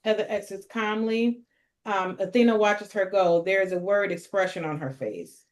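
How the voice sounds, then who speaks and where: Mid-pitched woman narrating stage directions. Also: normal speed. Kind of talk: scripted narration at a table read.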